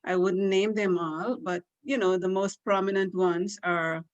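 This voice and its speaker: A woman, giving measured interview answers on a video call. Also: mid-range voice, steady tone, dull audio. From Guyana. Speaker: guest. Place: small room indoors.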